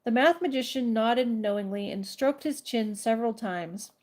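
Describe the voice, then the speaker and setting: A woman's voice: fairly high pitch, animated, normal speed, darkish tone. A woman with a congested cold hosting a bedtime story reading, with giggly, chatty asides. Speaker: storytime host reading aloud.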